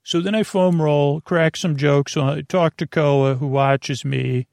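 A man hosting a bedtime podcast in a meandering monologue, halting and digressive, often trailing off and restarting. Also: a little high, fairly even intonation, a little mellow, no room sound, clean studio sound. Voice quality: creaky, gravelly. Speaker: podcast host.

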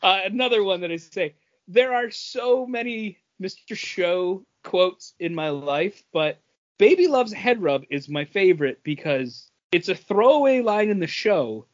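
A man's voice: sits high, animated, a little slow, no echo, muffled recording. A man co-hosting a podcast in casual chat, telling anecdotes and acting out little exchanges as he goes. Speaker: podcast co-host.

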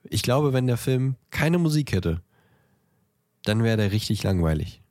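A man chatting casually as a podcast co-host, halting now and then and repeating words as he goes. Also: medium pitch, expressive, darkish tone, dry recording, clean studio sound. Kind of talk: casual chat about films.

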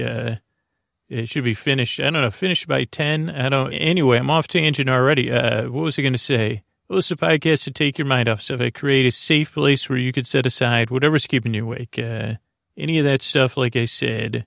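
Creaky, rambling man hosting a podcast. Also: mid-range voice, fairly even intonation, a little fast, no echo, studio quality. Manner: meandering, filler-heavy, self-interrupting, soft. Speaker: podcast host. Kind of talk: rambling bedtime-story podcast talk.

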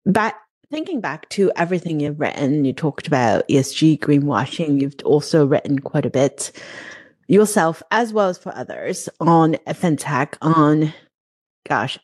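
Low-pitched woman hosting a relaxed interview. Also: unhurried, husky. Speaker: podcast host. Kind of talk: casual conversational interview.

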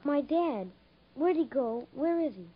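A woman's voice: sits high, lively intonation, unhurried. A woman playing a polite, shy young boy in a 1950s radio drama, hesitant in manner. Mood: hesitant, earnest. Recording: muffled vintage radio recording, loud music behind.

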